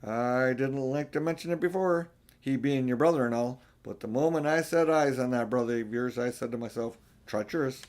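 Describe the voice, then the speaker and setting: A man's voice: medium pitch, moderate pace, darkish tone, close to an everyday voice. A man voicing a grave, worldly-wise male beaver from a storybook, speaking in an emphatic, warning way. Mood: grim, knowing. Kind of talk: storybook character voice in an audiobook.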